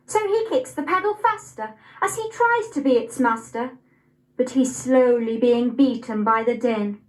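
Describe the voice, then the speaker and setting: Casting: young English woman, high-pitched voice, expressive poetry reader, unhurried and deliberate delivery. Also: poor audio. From Nottingham, England. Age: young adult.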